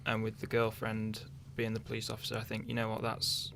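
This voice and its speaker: A young man gives a casual interview. His voice is fairly low and flat, with plenty of creak, and he hesitates as he thinks aloud. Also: a little fast, slight background noise. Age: young adult. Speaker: interviewed radio drama writer. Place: radio interview.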